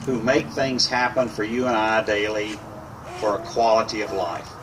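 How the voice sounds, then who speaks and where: A man speaking as a mayor at a public ceremony, with solemn, deliberate phrasing. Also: fairly low pitch, flat delivery. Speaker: mayor giving ceremony remarks. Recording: distant mic, outdoor ambient noise.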